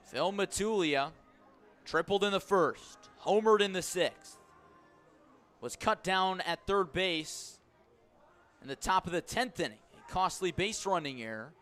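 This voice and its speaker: A man calling a baseball game live on radio as play-by-play announcer, measured between pitches and lifting his voice on the action. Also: soft background music. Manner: measured narration rising to excited shouts on key plays. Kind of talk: live sports commentary.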